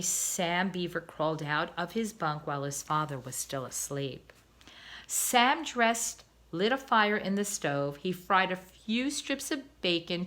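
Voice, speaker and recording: A woman, a teacher, reading a children's novel aloud in an expressive, gentle way. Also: lowish voice. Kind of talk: storybook read-aloud.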